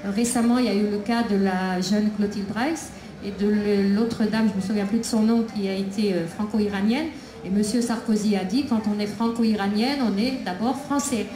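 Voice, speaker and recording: A woman speaking at a festival debate about her imprisoned son, talking haltingly with many pauses and restarts. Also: medium pitch, steady tone, mellow. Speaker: prisoner's mother, invited speaker. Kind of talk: public talk at a debate. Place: outdoor festival debate tent. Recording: echoey PA with crowd ambience.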